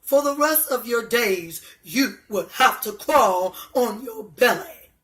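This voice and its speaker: A woman voicing a stern, commanding God in a children's story, speaking emphatically and deliberately. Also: on the high side, lively intonation, fairly bright tone. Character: God, stern, commanding, displeased male authority. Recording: echoey room.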